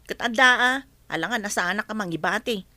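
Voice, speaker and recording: A woman's voice: medium pitch, fairly quick, bright, forceful tone, no room sound. An actress playing an exasperated, principled wife who stands up for her family, in an Ilocano radio drama. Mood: explaining.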